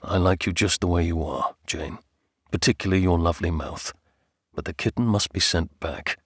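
Casting: male narrator playing aristocratic husband, low and gruff, drawling, proud, teasing, audiobook dialogue, dry studio sound. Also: moderate pace, no room sound. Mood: fond, amused.

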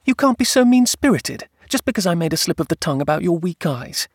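A spirited young countess, as a male narrator puts her on in an audiobook. The pitch is lifted well up, the manner sweet, earnest and insistent. The sound is dry. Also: fairly quick, vocal fry, husky, no echo. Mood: indignant, earnest. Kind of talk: audiobook character dialogue.

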